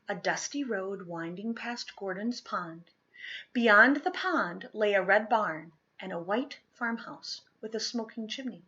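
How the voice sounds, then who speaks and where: Bright-voiced woman reading a storybook aloud. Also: mid-range voice, muffled recording. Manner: expressive, deliberate phrasing with dramatic pauses and emphasis. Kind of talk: dramatic children's picture-book read-aloud.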